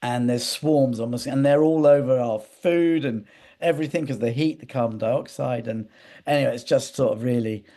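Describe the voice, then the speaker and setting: Man, interview guest, mellow relaxed tone, medium pitch, hesitant, digressive, conversational storytelling. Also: moderate pace. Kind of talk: conversational interview, rambling anecdotes.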